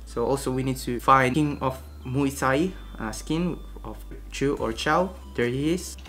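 A man's voice: medium pitch, unhurried, fairly bright tone, soft background music. A young man from the Philippines, hosting a casual gaming vlog from his room and walking viewers through a giveaway. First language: Tagalog. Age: young adult. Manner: chatty, repeats himself, gestures with his hands while explaining. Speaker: gaming channel host. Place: small room indoors. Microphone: webcam at desk.

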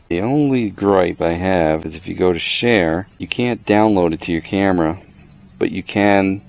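A man's voice: sits low, vocal fry, no room sound. A man giving a casual hands-on product walkthrough outdoors, plainspoken and matter-of-fact, in short clipped phrases. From the Americas. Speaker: product reviewer. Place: outdoors under a canopy in a wooded yard.

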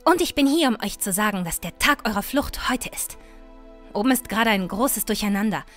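A woman playing a bold, sharp-tongued, impatient young adventuress in a fan-made fantasy audio drama. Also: mid-range voice, expressive, a little fast, soft background music, natural voice. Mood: hushed, businesslike. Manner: sarcastic, bossy.

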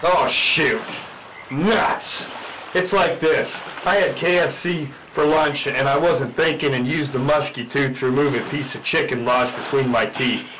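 Over clear background noise, a man plays an earnest young fish expert in a spoof radio drama. He is blunt and insistent, explaining things in a mid-range voice. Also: medium pitch, measured pace, a bit bright, close to an everyday voice. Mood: sheepish. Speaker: amateur radio drama actor. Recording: noisy track with background ambience.

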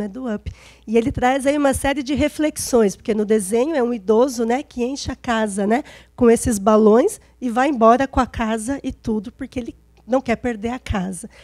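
Mellow, high-pitched Brazilian woman lecturer, slightly hoarse. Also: no room sound. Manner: informal, frequent checking tags like "né". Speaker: lecturer, gerontology specialist. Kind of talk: public lecture, conversational. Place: event stage before seated audience, indoors. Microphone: handheld microphone.

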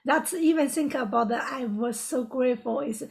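Halting high-voiced woman with a gravelly edge. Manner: halting, searching for words, broken grammar. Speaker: guest. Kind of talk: personal story in an interview.

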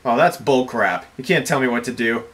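A man's voice: medium pitch, fairly quick, a bit bright. A young man acting in an amateur comedy skit with exclamatory, exasperated delivery, recorded on a camera in a bedroom. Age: young adult. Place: small home bedroom, indoors.

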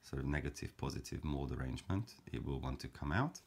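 A man calmly explaining a paper craft step by step, in a gentle, measured way with frequent fillers. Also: very deep voice, creaky, slightly rough. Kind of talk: calm step-by-step craft tutorial.

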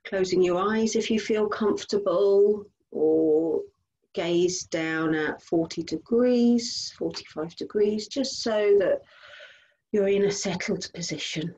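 A woman leading a guided body scan meditation as a teacher, giving gentle instructions in short phrases with unhurried pauses. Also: medium pitch, dull audio.

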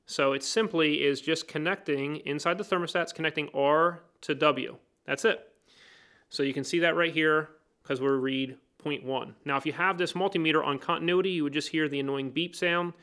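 A man's voice: a little high, steady tone, normal speed, pushed and bright, clear, resonant tone. A man teaching HVAC basics, explaining each step plainly and working through a hands-on bench demonstration. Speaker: HVAC trainer. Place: indoor workbench.

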